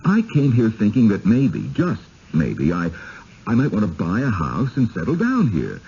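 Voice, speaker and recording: Prickly low-voiced husband. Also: male voice, wildly swooping pitch, moderate pace, dark tone, exaggerated voice. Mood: irritated. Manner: gruff, indignant, plain-spoken. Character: prickly, skeptical husband, a newcomer who resents scrutiny. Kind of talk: vintage radio drama acting. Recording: dull, faintly noisy 1970s radio broadcast.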